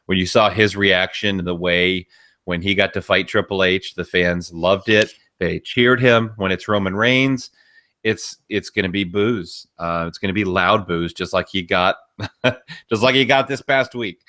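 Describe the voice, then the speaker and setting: Forceful, bright-voiced male podcast co-host. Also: low-pitched, a little slow. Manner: emphatic, opinionated. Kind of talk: casual opinionated wrestling discussion.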